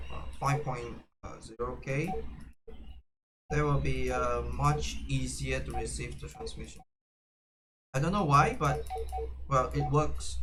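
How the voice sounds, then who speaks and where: A man giving a casual, unscripted how-to demonstration, speaking haltingly with frequent fillers and self-corrections. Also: medium pitch. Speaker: tutorial presenter.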